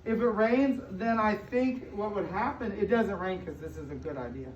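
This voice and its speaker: A woman's voice: mid-range voice, animated, moderate pace. American woman reading to children, echoey and distant. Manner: explains and paraphrases as she reads, with questions and emphatic stretched words. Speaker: school superintendent reading aloud to children. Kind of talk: interactive picture-book read-aloud to young children. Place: indoor room with children present. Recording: distant, echoey, muffled room recording with noise.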